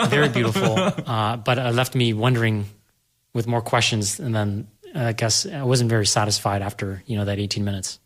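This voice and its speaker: A man's voice: fairly low pitch, normal speed. A man explaining and discussing film in a casual panel conversation. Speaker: panel guest explaining animation.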